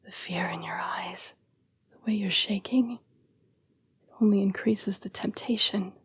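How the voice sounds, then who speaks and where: A woman voicing an apologetic, protective yet predatory female vampire fighting her bloodlust in an intimate audio roleplay, with hushed, formal, halting speech. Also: medium pitch. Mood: tempted, apologetic. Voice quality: breathy, whispery. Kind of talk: intimate scripted audio roleplay addressed to the listener.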